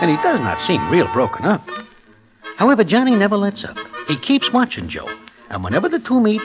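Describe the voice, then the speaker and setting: A high-pitched streetwise New storyteller. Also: male voice, low-quality recording. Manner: stiff formal slang, present tense, no contractions. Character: Broadway, streetwise New York gambler sidekick narrating the tale. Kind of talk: 1940s-50s radio drama narration and acting. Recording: old radio recording with background music.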